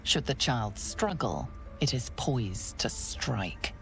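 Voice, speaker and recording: Very deep, foreboding narrator. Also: female voice, lively intonation, vocal fry, heavily acted. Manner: deliberate, foreboding. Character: ominous, all-knowing female fantasy game narrator. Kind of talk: scripted dramatic narration. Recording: music behind the voice.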